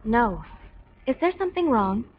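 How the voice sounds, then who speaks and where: Self-possessed girl army medic, game role, higher voice, matter-of-fact, slightly muffled. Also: sing-song, normal speed, slight background noise. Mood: calm. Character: calm, self-possessed young girl serving as military medic. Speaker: military medic. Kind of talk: scripted video game voice acting. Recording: muffled lo-fi game audio with music.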